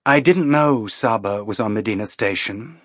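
Man reading as calm, dignified woman fugitive. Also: on the low side, dry recording, studio quality. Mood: measured, serious. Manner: measured, composed, earnest. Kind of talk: audiobook character acting.

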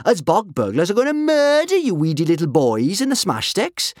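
Man voicing a high, cocky little Viking girl. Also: huge pitch swings, normal speed, dry recording, studio quality. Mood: cheery taunting. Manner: cheery, taunting. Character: very small, cheery, swaggering, cocky Viking girl. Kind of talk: children's audiobook character.

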